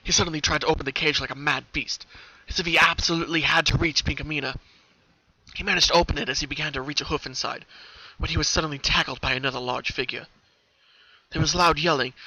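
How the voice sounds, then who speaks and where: A man's voice: a little high, bright, forceful tone, dry recording. A man narrating a story as a dramatic reading. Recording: dull, lo-fi home recording.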